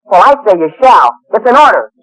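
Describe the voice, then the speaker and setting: A man's voice: high voice, sing-song, fairly quick, fairly bright tone, poor audio. A young male actor playing a cocky, adventurous, bossy magical boy in an old radio drama. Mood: bossy, confident. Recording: faint music behind the voice.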